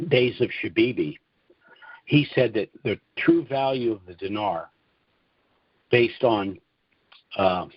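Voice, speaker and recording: A middle-aged or older American man hosting a client conference call, speaking informally and in a measured way with hesitant mid-sentence pauses. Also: lowish voice, slightly rough, dry recording. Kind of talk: informal financial monologue to clients. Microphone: conference call line. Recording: dull, dry, lo-fi conference call audio.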